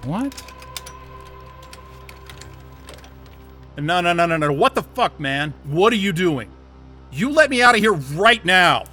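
An agitated captive techie in an audio drama, high-pitched for a man and sharply tense. He is sarcastic and defiant, with anger and fear pushing at his words. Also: sing-song, moderate pace, pushed and bright, faint music behind, exaggerated voice. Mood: panicked. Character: angry, sarcastic, panicked male software developer held captive.

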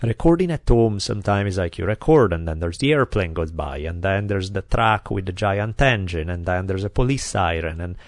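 A man's voice: sits low, expressive, normal speed, few pauses, dry recording, clean studio sound. A man with an Italian accent hosting a podcast, talking in a loose, chatty way with many fillers. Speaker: podcast host. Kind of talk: casual podcast chat. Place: quiet recording studio.

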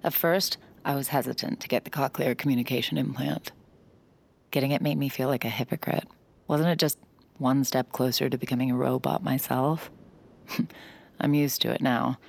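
An actress playing a young adult mind reader in an audio drama, narrating her thoughts quietly and reflectively, sometimes in a whisper. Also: very deep voice, gravelly, heavily acted. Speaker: mind-reading lead character.

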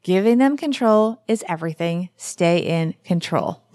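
A woman's voice: lowish voice, expressive, measured pace, mellow, no echo. A woman in her forties hosting a podcast, chatting casually and giving upbeat wrap-ups. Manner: upbeat, plugging and summing up. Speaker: podcast host.

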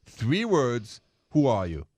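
A man's voice: medium pitch, huge pitch swings, slow, darkish tone, dry recording, studio quality. A man from Paris with a French accent, co-hosting a radio show, agreeable and playful in casual talk. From Paris, France.

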